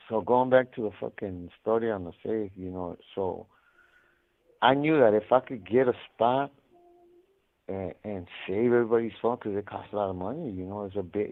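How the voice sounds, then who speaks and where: Deep-voiced man on a tinny phone line. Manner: slangy, profane, rambling. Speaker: incarcerated caller telling his life story. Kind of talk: casual storytelling over a prison phone call. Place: prison, on a phone.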